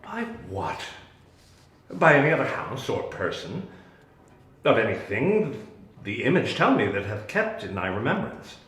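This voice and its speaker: Guy acting as a commanding wizard dad in shakespeare, solemn and deliberate, really animated pitch, echoey room. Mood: probing, commanding. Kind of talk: Shakespearean dramatic reading. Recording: roomy, echoing sound.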